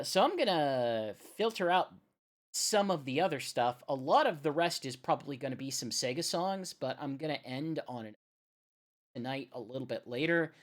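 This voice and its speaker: A woman hosting a casual live music stream, rambling and thinking aloud as she goes. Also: rumbling low. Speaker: radio show host.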